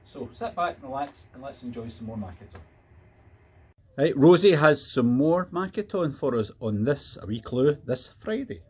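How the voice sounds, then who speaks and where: A man teacher introducing pupils' videos with loud, animated enthusiasm. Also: mid-range voice, normal speed. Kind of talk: assembly address to pupils. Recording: distant mic, faint room noise.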